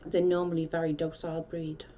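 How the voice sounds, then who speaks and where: A chatty woman with a South Welsh accent talks in a fairly low voice, rambling and restarting as she reads out comments on a livestream. The recording sounds slightly dull, with a faint hiss behind her. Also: level tone, a little fast, dark tone. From Wales. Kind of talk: casual chat reading comments.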